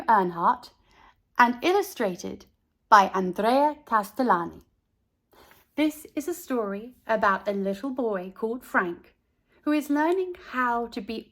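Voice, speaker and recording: A woman hosting storytime and reading a children's picture book aloud as narrator. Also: fairly high pitch.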